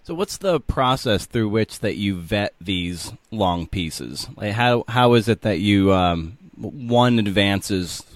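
A man with a slightly low voice hosts a casual interview podcast. He feels his way through sentences, rephrasing as he goes. The recording is dry, with no room sound. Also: expressive, takes it slowly, few pauses, no echo.

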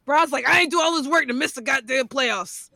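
A woman co-hosting a casual podcast, talking in an animated, playful way, her voice raspy from yelling the night before. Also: high-pitched, no room sound. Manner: animated, playful, quick to laugh and joke. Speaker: podcast co-host. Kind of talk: casual chat.

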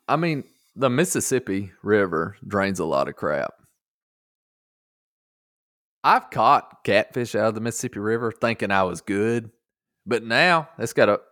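A man's voice: fairly low pitch, a bit bright, dry recording, studio quality. A man chatting casually in a folksy, joking way as a podcast host. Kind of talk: casual chat.

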